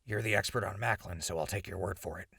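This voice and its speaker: An actor playing a sardonic, laid-back ghoul survivor in an audio drama, raspy and gravelly, with a casual, teasing way of talking. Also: male voice, low-pitched, quick pace, bright, forceful tone, no room sound, studio quality, exaggerated voice. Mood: animated disbelief. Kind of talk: post-apocalyptic audio drama acting.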